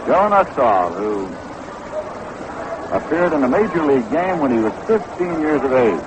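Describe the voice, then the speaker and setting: A man's voice: mid-range voice, sing-song, normal speed. An American man calling baseball play-by-play on the radio in a 1960s commentary style, from a ballpark booth. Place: outdoor ballpark broadcast booth. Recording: noisy vintage 1960s radio broadcast.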